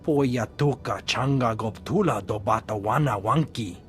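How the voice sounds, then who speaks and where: A man's voice: mid-range voice, unhurried, soft background music, natural voice. A man voicing an alien character in a video game, speaking in an invented alien tongue. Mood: matter-of-fact.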